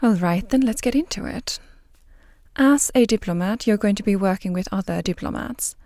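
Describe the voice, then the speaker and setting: A woman playing a composed, formal interviewer in an audio drama, with a measured and polite manner. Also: medium pitch, a little mellow, dry recording, clean studio sound, close to an everyday voice. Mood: reassuring, businesslike. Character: composed, formal female interviewer screening diplomat candidates.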